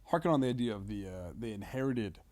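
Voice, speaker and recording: A man's voice: mid-range voice, animated, quick pace, darkish tone. A man chatting casually on a comedy podcast, hesitant and rambling, often backtracking on what he says.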